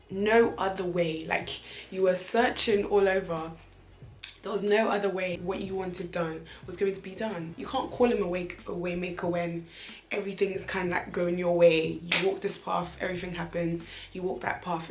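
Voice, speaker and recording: A young woman with a lowish voice talks to her viewers from home, her voice a little croaky. She is animated and chatty, laughs often and repeats phrases for emphasis. The room gives an echo. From Nigeria. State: slightly croaky voice. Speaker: vlogger. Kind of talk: casual chatty vlog talk. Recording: echoey room.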